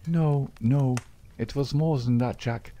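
A man's voice: medium pitch, takes it slowly, warm tone, voice pushed up, close to an everyday voice. A man reading aloud, voicing a young woman who anxiously pleads with her outlaw lover. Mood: suspicious, anguished. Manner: imploring, breathless, emotional.

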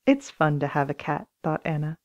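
A woman's voice: sits low, big pitch swings, moderate pace, darkish tone, highs cut off. A woman reading scripted children's story narration as an audiobook reader.

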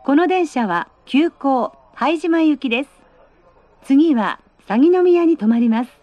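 A woman reading prerecorded train announcements in a polite, measured, precisely phrased manner. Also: high-pitched, lively intonation, faint music behind. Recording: dull, band-limited train announcement audio.

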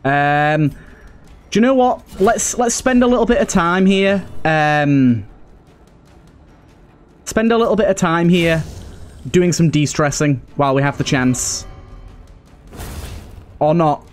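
A man's voice: on the high side, lively intonation, slow pace, pauses often, faint music behind. A British man giving casual let's play commentary, thinking aloud and addressing the characters on screen as he goes.

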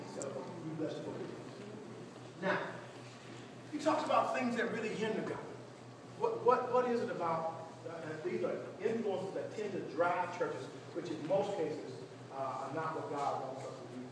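A high-voiced man teaches like a preacher, repeating phrases for emphasis and prompting his group to respond. He is picked up by a distant mic in a church meeting room, with strong echo and clear background noise. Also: normal speed. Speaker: pastor leading a church workshop. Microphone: distant camera among the audience. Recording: echoey hall, distant mic, noisy room.

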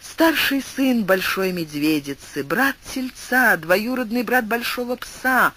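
Guy doing a high breathy coy young widow, flattering and pleading, storybook voice. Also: slow, full character voice.

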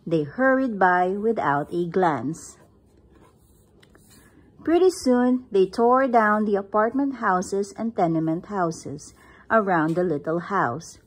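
Slow, breathy woman reading aloud. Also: mid-range voice, low-quality recording. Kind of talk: slow picture-book read-aloud. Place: indoors, at home.

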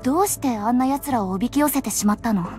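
A woman's voice: on the high side, fast, darkish tone, faint music behind, natural voice. A woman voicing a cool, curious young female adventurer in a Japanese anime game.

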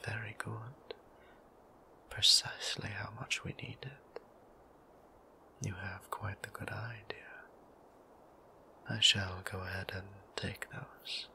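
Guy doing a soft-spoken vampire priest, formal old-timey manners, flat even whisper. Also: fairly low pitch, takes it slowly, creaky, pauses often, exaggerated voice. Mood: tender. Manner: whispered, courtly and old-fashioned politeness. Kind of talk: ASMR roleplay.

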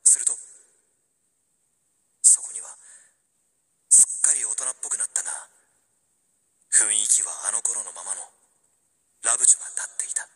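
A man playing a wistful young man pining for an old love, narrating a radio drama in a hushed, introspective way. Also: lowish voice, normal speed, pauses often, slightly rough, heavily acted. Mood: yearning, earnest.

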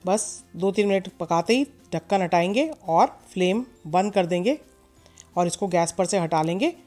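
A woman hosting a cooking channel, calmly talking viewers through a recipe step by step in a voice-over and mixing in English words. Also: on the low side, normal speed, soft background music.

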